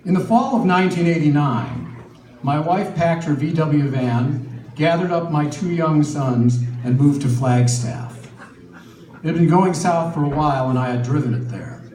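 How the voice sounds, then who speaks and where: A man's voice: a little high, expressive, moderate pace, darkish tone. A middle-aged American man reading his own personal memoir aloud at a live literary reading. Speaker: author reading from own book. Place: live event in a gallery. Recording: echoey live room, distant mic, faint noise.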